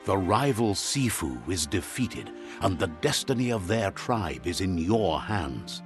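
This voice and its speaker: Male game narrator, low voice, measured storybook delivery, relays characters' speech. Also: very animated, music in the background.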